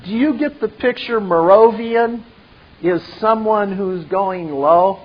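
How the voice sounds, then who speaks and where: Slow-talking man, dull lo-fi recording. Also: high-pitched. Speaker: presenter. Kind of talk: retelling a film scene with commentary.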